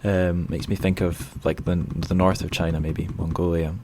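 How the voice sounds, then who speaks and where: Male podcast host, low voice, casual interviewing, feels his way into questions and self-corrects. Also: flat delivery, moderate pace, background noise.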